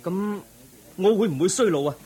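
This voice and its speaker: A man's voice: sits high, animated, dark tone, breathy edge. A man acting an earnest, troubled young prince in a Cantonese radio drama, full of wondering questions. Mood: puzzled, curious. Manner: inquisitive, wondering, drawn-out questioning.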